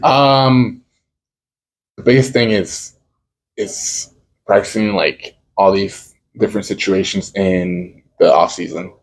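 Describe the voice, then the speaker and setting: Deep-voiced young man, halting answers. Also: fairly even intonation, vocal fry, rough recording. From Dallas, Texas. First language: Spanish. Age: young adult. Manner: halting, searching for words with many fillers. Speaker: college football kicker. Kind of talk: casual interview answers.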